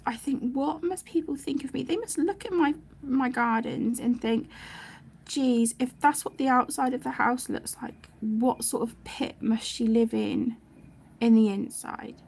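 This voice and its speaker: Husky, high-pitched British woman chatting casually. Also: darkish tone. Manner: chatty, rambling, self-interrupting. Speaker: host of an adult colouring channel. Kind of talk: casual live chat while colouring.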